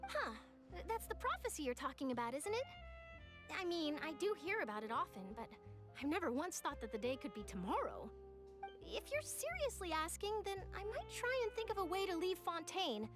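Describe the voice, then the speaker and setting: A woman's voice: very high-pitched, sing-song, moderate pace. An actress playing an eager, passionate young female journalist in an anime game, articulate, theatrical and upbeat. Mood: reflective, sincere. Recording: background music under the voice.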